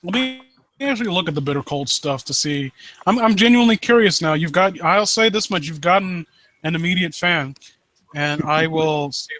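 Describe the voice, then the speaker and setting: Bright, high-pitched man chatting bluntly. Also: moderate pace, no room sound, poor audio. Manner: blunt, sweary. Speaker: host of a live story critique show. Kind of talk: casual chat, off-the-cuff critique.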